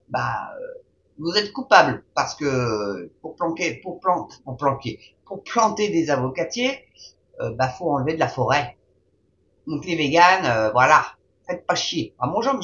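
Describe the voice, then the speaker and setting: A woman's voice: low-pitched, a bit bright. Woman host, reads news aloud with sneering hesitant asides; muffled lo-fi sound, faint hiss. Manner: mocking, informal, many hesitations. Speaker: video host. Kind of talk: reading a news article aloud with sarcastic asides. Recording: dull lo-fi with faint noise.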